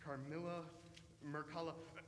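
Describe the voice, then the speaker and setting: A man's voice: fairly high pitch, level tone, normal speed, close to an everyday voice. A man playing a desperate, obsessed father hunting a vampire, in urgent, theatrical old-time radio drama style. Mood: grim, determined. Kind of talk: old-fashioned radio melodrama acting. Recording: echoey hall with faint noise.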